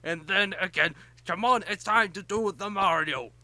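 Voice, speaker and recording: A man's voice: sits high, normal speed, sharp tone, no echo. A man in casual gaming banter who has a goofy way of blurting out silly announcements. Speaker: let's play co-player.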